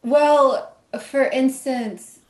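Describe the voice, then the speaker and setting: A woman's voice: high voice, very slow. Giggly woman in an echoey room. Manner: lively, giggly, animated. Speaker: podcast co-host. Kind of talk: casual chat.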